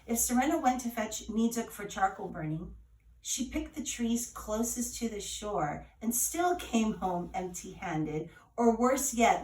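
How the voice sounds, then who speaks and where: A woman reading a legend as scripted storytelling, with measured, dramatic phrasing. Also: mid-range voice, a little mellow. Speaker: author reading her retold legend. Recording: roomy echo, distant from mic.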